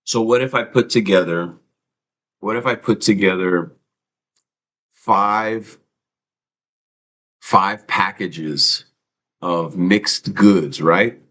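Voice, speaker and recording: A man's voice: deep voice, slow pace, pauses often, a bit bright. A man hosting a casual livestream, rambling and swearing freely, with many fillers and restarts. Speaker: livestream host.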